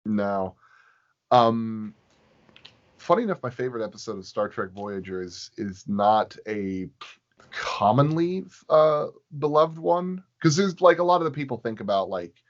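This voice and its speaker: A man chatting casually as a podcast guest, halting and pausing as he thinks aloud. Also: medium pitch, expressive. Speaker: podcast guest, sound designer. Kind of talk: casual interview chat. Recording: dull, lo-fi voice recording.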